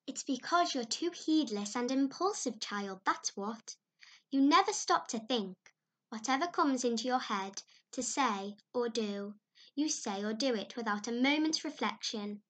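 A woman's voice: fairly high pitch, expressive, pitched above the performer's own voice. A woman reading aloud, voicing an opinionated older village woman who lectures firmly. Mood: lecturing. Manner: firm, lecturing, drawn-out phrase endings.

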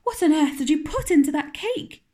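Woman putting on a stern practical older woman, curt, sharp, scolding, storybook reading. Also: on the high side, animated, normal speed.

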